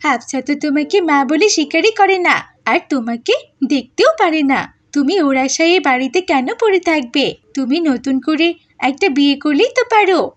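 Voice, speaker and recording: A woman plays a scheming aunt in a moral cartoon. The high female voice nags and persuades, pushing insistently to get her own way. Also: lively intonation, normal speed, highs cut off. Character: pushy scheming aunt, adult woman, nagging. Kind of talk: Bengali cartoon voice-over.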